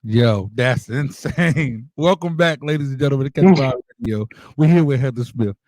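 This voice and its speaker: A man's voice: medium pitch, moderate pace, darkish tone, no echo. A man hosting a casual radio interview in an informal, slangy way with appreciative interjections. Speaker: radio show host.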